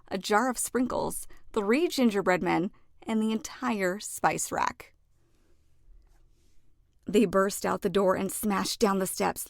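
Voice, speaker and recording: A woman reads a children's storybook aloud. Her narration is expressive and deliberately phrased, leaning on key words for drama. Her pitch is mid-range and the sound is dry, with very little room. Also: vocal fry, dry recording.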